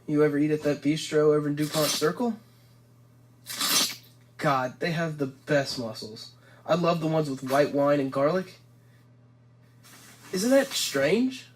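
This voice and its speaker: A man's voice: fairly high pitch, lively intonation, measured pace, pauses often, background noise. A man playing an anxious, inquisitive young man with an electronic body in an audio drama, with a wry, questioning manner. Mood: wry, wistful. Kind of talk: audio drama dialogue and reflective first-person narration.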